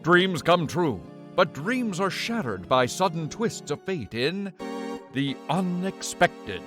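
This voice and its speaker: A man's voice: on the high side, very animated, slow, music in the background. A man delivering a scripted old-time radio drama introduction as announcer, in a melodramatic, portentous manner.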